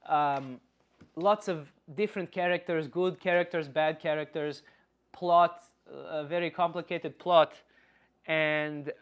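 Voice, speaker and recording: A man playing a blunt Slavic uncle, philosophizing in halting, casual livestream talk and answering chat comments. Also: fairly high pitch, lightly acted. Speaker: livestream host.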